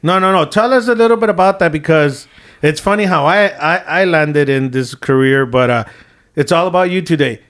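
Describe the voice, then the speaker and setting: A man in his thirties hosts a podcast in a notably high voice. He is upbeat and affirming toward his guest, and he switches into Spanish phrases from time to time. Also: a little fast, fairly bright tone. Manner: hyped, affirming, mixes in Spanish. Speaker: podcast host. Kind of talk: casual podcast interview.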